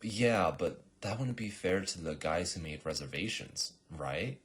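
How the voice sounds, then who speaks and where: A man voicing a bored, officious young woman who takes reservations in an impatient, deadpan way. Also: deep voice, a little slow, slightly rough, full character voice.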